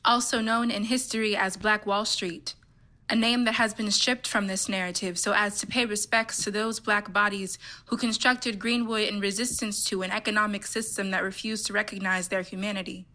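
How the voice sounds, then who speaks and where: A woman's voice: medium pitch, fairly even intonation, normal speed, sharp tone. A woman reading stage directions as the narrator of a play, in scripted narration.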